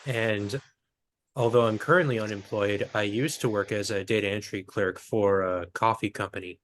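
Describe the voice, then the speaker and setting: A man's voice: fairly low pitch, fairly even intonation, normal speed, a bit bright, slight background noise. Precise-spoken man in his twenties. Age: 20s. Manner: precise, formal wording. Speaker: guest on dating show.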